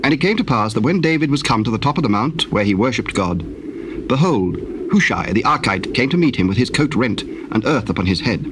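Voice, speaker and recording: A man's voice: medium pitch, expressive, fairly quick. A man narrating scripture as a solemn, scripted story on a noisy old tape.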